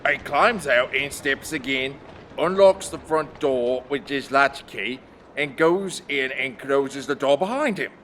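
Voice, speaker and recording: A man's voice: mid-range voice, animated, unhurried, fairly bright tone, slight background noise. A man playing a deferential coachman in a period mystery audio play, telling things plainly and matter-of-factly. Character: plain-spoken male coachman servant, deferential. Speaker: actor in audio drama.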